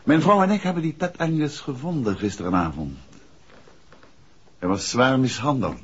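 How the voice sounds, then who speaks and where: An actor playing a courteous, inquisitive gentleman detective in an old radio drama, speaking politely and probingly. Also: mid-range voice, lively intonation, normal speed, husky. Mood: probing, measured. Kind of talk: 1960s Dutch radio drama acting. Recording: muffled old radio recording.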